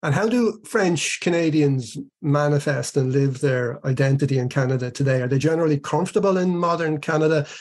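A man's voice: fairly high pitch, brisk, few pauses, darkish tone. A male podcast host asking curious, rambling interview questions.

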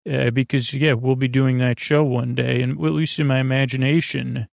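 A middle-aged man with a gravelly voice hosting a bedtime podcast, talking in a halting, meandering monologue that keeps interrupting itself. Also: mid-range voice, flat delivery, no room sound, clean studio sound. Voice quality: gravelly, creaky. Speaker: podcast host.

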